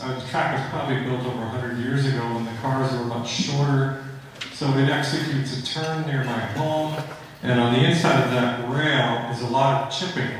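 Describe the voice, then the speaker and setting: Distant, echoing American man at a hearing. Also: mid-range voice. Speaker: local resident at public hearing. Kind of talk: unscripted public testimony. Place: large indoor hearing hall. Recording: distant mic, echoey hall, faint noise.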